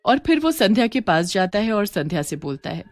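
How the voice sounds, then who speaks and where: A woman's voice: mid-range voice, sing-song, brisk, no room sound, soft background music. A woman delivering scripted narration of a horror story as its storyteller.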